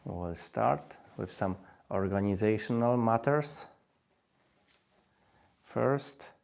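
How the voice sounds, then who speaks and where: A man's voice: fairly low pitch, takes it slowly, gravelly, a little mellow. A man in his thirties with a Polish accent hosting a language-learning live stream, repeating phrases and coaxing viewers to comment. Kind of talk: live-stream webinar talk.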